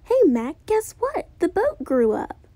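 A woman's voice: extremely high pitch, huge pitch swings, takes it slowly, mellow, no echo, exaggerated voice. A woman doing eager, silly noodle boys. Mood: excited. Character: two silly, eager young noodle brothers.

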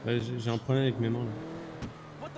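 Gravelly man over loud background noise. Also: mid-range voice, normal speed. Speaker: player roleplaying a journalist held up. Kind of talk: casual improvised game roleplay. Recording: noisy game voice chat.

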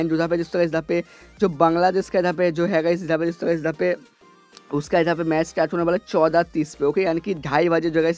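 A hoarse young man, a gaming YouTuber talking casually and rambling, filling every pause with "guys". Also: a little high. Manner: repeats "guys" constantly, rambling filler-heavy delivery. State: hoarse from a cold. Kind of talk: casual screen-recorded gaming update.

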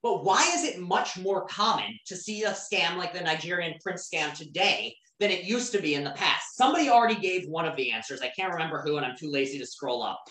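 A woman's voice: sits low, sharp tone. A woman teaching an online class in a casual, conversational way, often asking the students questions. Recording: roomy, distant from the mic.

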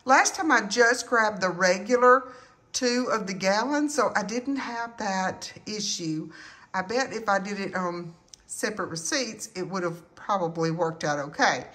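A woman chatting casually to viewers as a couponing vlogger, in a halting, rambling way. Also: mid-range voice, fairly bright tone. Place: indoors at home.

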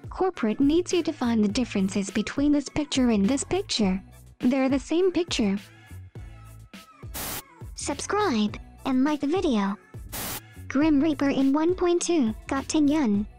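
Female meme narrator wanted: high, mellow voice reading captions as host, over background music. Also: lively intonation, measured pace.

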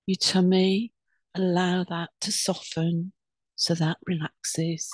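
A woman leading a guided meditation in a soothing, unhurried, instructing way with pauses between phrases. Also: on the low side, level tone, darkish tone, dry recording.

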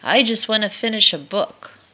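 A woman's voice: medium pitch, normal speed, background noise, natural voice. A woman voicing an eager, polite young male dragon who loves books, pleading in a friendly, emphatic way in a children's picture book. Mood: earnest longing.

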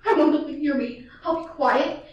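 Teen girl as a panicky invalid woman, very high voice, pleading, echoey far-off dull recording, school radio play. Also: moderate pace, close to an everyday voice. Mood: frightened. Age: teens. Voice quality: anxious, breathless, pleading. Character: frantic bedridden woman alone at night.

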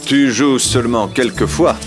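A man's voice: mid-range voice, unhurried, over music, close to an everyday voice. A man playing a regal middle-aged king and concerned father in a French cartoon dub, speaking formally and emphatically. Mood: fatherly, instructive. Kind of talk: French animated film dub.

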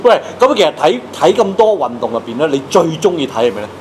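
A man's voice: on the high side, very animated, quick pace, slight background noise. A man in his fifties with a Hong Kong Cantonese accent, hosting a casual chat in a studio. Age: 50s. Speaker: host. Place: small studio with padded acoustic panels.

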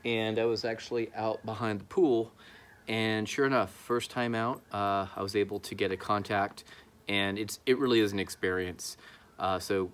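A man's voice: fairly low pitch, a bit bright, clean tone. A man in his thirties talking casually to camera, explaining his hobby as a video host outdoors. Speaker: YouTube host, amateur radio hobbyist. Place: outdoors in a backyard.

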